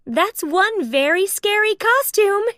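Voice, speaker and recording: Storybook role for a woman: sweet, jittery cartoon girl, very high-pitched and animated. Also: slow, dry recording, studio quality, exaggerated voice. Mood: impressed, excited. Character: nervous, excitable female cartoon friend, sweet and girlish.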